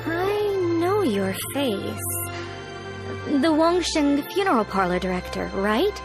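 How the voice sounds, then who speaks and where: An uneasy townswoman who turns people down politely but firmly. Her voice sits a little high and moves expressively. Game music plays behind her. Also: very animated, takes it slowly, full character voice. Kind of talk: game cutscene voice acting.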